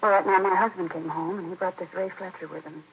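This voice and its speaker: Actress as distraught American woman, fast and creaky. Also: on the low side, fairly even intonation, natural voice. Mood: agitated, recounting. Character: distraught, disapproving woman, grieving murdered sister, defensive. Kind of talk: 1950s radio crime drama acting. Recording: muffled, noisy vintage radio recording.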